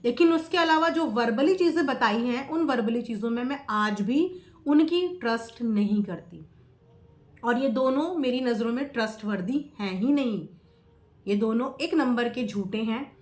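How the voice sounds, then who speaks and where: A woman's voice: fairly high pitch, moderate pace. A woman giving opinionated, casual commentary to viewers, emphatic, repeating phrases and asking rhetorical questions. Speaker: reaction video creator. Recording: echoey, roomy sound.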